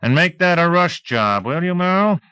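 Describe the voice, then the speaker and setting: A man's voice: a little high, lively intonation, moderate pace, bright, forceful tone, clean tone, no echo, voice pushed up. A man voicing a small, cocky police chief for an audiobook, sardonic and commanding, with mock-friendly needling.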